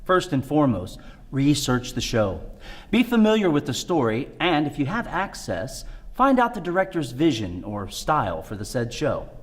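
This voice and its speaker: A man's voice: fairly high pitch, big pitch swings, normal speed. A man presenting scripted instructional tips on acting.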